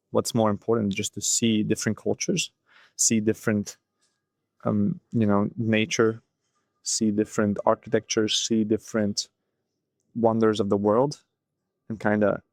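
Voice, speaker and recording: A man in his twenties talking through his thoughts in a loose, unscripted solo monologue, often pausing on "like" and "you know". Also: lowish voice, a little mellow, no room sound. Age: 20s. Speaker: vlogger.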